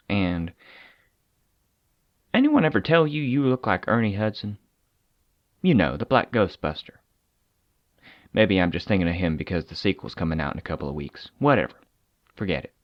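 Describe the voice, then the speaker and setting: An actor playing a weary, persuasive government agent in an audio drama, talking conversationally with deliberate dramatic pauses. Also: male voice, lowish voice, no echo, dull audio, close to an everyday voice. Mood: brisk, wry. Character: weary, persuasive male agent of a secret containment agency.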